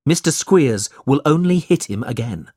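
A man's voice: mid-range voice, animated, moderate pace, a little mellow, husky, no room sound, studio quality, pitched above the performer's own voice. A man voicing a brave, protective young man in a storybook, speaking earnestly and with defiance. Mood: indignant.

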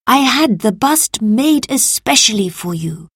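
An anxious Roman father from a children's storybook, acted by a woman. He pleads defensively in slightly raised, unhurried speech. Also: a little high, animated, fairly bright tone, slightly rough, no room sound, clean studio sound, pitched above the performer's own voice, full character voice. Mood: upset, pleading.

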